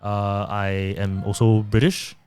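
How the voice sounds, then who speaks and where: Low, smooth-voiced man, dry recording. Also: unhurried. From born in Taiwan, raised in Singapore. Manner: relaxed, chatty, self-deprecating. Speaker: podcast guest, digital marketer. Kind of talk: casual interview conversation.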